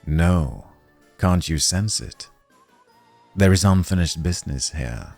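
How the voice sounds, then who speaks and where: A man's voice: low-pitched, animated, slow pace, creaky, darkish tone, soft background music, exaggerated voice. A man voicing a mysterious male stranger with a grave, commanding and solemn manner in a fantasy story. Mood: ominous.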